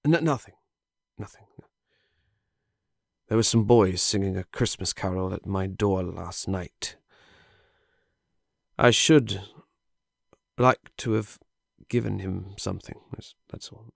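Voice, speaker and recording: A man's voice: deep voice, slow, creaky, pauses often, no room sound, clean studio sound, exaggerated voice. A man playing an old miser in an audio drama, fearful and wistful, stammering and exclaiming. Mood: regretful.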